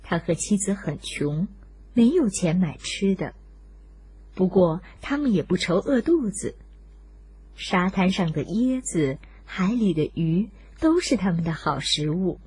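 A woman with a notably husky, breathy voice narrates a story for children. She reads at an unhurried pace in an expressive, deliberate way. The recording is dry and close. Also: lowish voice, dry recording.

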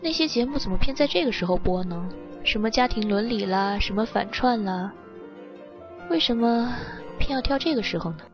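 A woman's voice: on the high side, animated, normal speed, over music, close to an everyday voice. Dull recording, a woman acting a cool, teasing castaway. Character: shipwrecked young woman, cool, teasing, nonchalant drinker. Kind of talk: audio drama dialogue.

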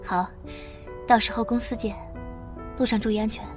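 A woman's voice: on the high side, steady tone, normal speed, over music, natural voice. A woman playing a composed, mature young mother in an audio drama, reserved and a little strict. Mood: polite. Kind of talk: modern audio drama acting.

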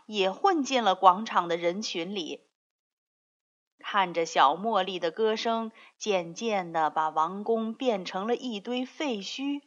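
A woman narrating a scripted fairy tale for young children in an expressive storytelling manner. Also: medium pitch, lo-fi sound.

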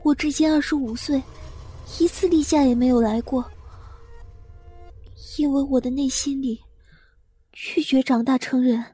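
Audio drama heroine, female actor: timid twenty-five-year-old, high and mellow, introspective narration, echoey space. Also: fairly even intonation, unhurried, pauses often, faint music behind. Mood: apologetic, hesitant. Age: young adult. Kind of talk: Mandarin audio drama acting with first-person narration.

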